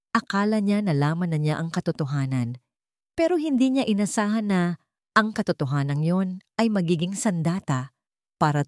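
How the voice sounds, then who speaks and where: A woman's voice: fairly low pitch, darkish tone, no room sound, clean studio sound. A woman narrating a scripted story as a dramatic storyteller.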